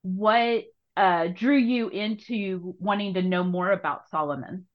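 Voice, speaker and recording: A woman's voice: mid-range voice, measured pace, muffled recording. A woman hosting a conversational podcast interview, engaged and affirming as she builds on what the guest says.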